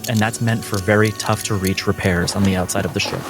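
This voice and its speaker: A man narrates a tabletop role-playing game as its game master. His voice is fairly low and his phrasing deliberate and explanatory, with loud music behind him. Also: steady tone.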